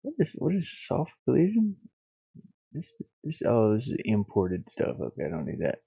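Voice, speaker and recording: A man thinking aloud on a casual livestream as he works, muttering in a halting, absent-minded way. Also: medium pitch, wildly swooping pitch, gravelly, mellow, husky. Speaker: livestreamer, hobby game developer. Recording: boxy echo, thin phone-like sound.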